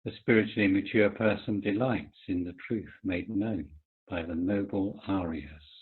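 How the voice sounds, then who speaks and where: A man reading scripture aloud over a video call in a deliberate, phrase-by-phrase way. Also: deep voice, slightly rough. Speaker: reader, member of a Buddhist community. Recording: tinny, muffled video-call line.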